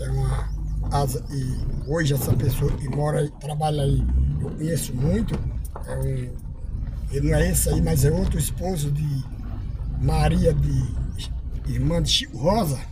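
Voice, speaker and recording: A man's voice: fairly high pitch, normal speed. A man from Ceará with a Rio Grande do Norte accent, chatting casually to viewers as he drives his car. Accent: Rio Grande do Norte Brazilian Portuguese. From Ceará, Brazil. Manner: chatty, folksy, sings along while driving. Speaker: vlogger. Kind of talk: casual driving vlog narration. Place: inside a moving car. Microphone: phone camera on the dashboard. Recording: car and road noise behind the voice.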